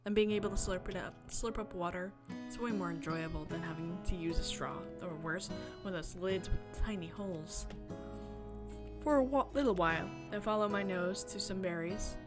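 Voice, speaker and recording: Lower-voiced woman streamer reading over music. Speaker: streamer playing a visual novel. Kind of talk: reading game narration aloud with casual commentary. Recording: game music behind the voice. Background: guitar game music.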